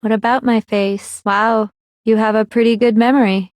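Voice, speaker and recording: Smug, high-voiced girl mastermind played by an actress. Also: fairly even intonation, normal speed, no echo, clean studio sound, close to an everyday voice. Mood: playful, smug. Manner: composed, condescending, explanatory. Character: smug, coldly analytical teenage girl mastermind villain. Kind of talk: anime video game character acting.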